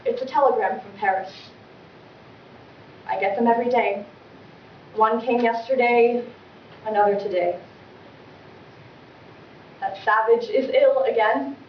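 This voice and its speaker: A woman acting a distraught, pleading aristocratic mother in a melodramatic classical stage monologue with dramatic pauses. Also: on the high side, close to an everyday voice. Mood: anguished. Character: distraught, pleading aristocratic mother losing her beloved home. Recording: distant mic, echoey room, dull, faint noise.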